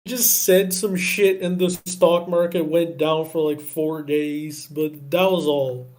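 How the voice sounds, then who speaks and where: A man's voice: fairly high pitch, fairly even intonation, slow pace, darkish tone. A man from Brazil chatting casually as a guest on a livestream, with some swearing. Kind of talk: casual chat.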